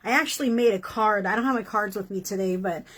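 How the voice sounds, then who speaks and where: A woman reading tarot horoscopes in a casual, encouraging way, her voice worn and hoarse from doing many readings. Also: medium pitch, fast talker, fairly bright tone. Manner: chatty, encouraging, many fillers like "you know" and "okay". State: losing her voice. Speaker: tarot reader.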